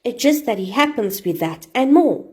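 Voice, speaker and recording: A woman's voice: fairly high pitch, expressive, moderate pace, mellow, breathy edge. A woman voicing a protective wolf parent, earnest and reassuring, in a children's storybook tale.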